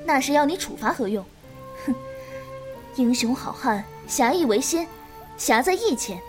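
A woman playing a calm, principled, protective elder-sister swordswoman in a martial-arts audio drama. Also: high voice, unhurried, soft background music. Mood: stern, righteous. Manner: composed, coaxing, righteous lecturing.

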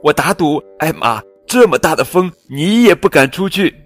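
A man's voice: fairly high pitch, expressive, moderate pace, a bit bright, faint music behind, pitched above the performer's own voice. A man voicing a small, fussy, skeptical bird in a children's storybook.

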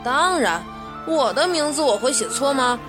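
A woman's voice: sits high, lively intonation, normal speed. A woman voicing a haughty little merman boy with a cocky, dismissive manner in a Chinese costume radio drama. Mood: scornful. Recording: music behind the voice.

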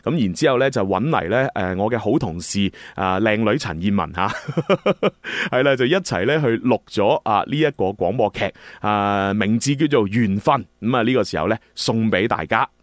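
A man's voice: lowish voice, lively intonation, moderate pace, dry recording. A man hosting a radio show in a casual, chatty style. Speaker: radio host and drama producer.

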